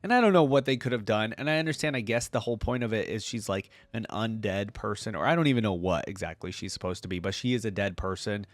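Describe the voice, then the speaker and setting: A man's voice: lowish voice, quick pace, few pauses, no echo. A man hosting a reaction video, giving casual, rambling, conversational commentary to his viewers. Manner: conversational, rambling, peppered with "like" and "you know".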